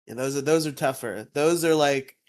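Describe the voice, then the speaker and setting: A man with a fairly high voice, co-hosting a podcast in casual chat, laughing often, on a headset mic. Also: normal speed, a little mellow. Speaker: podcast co-host.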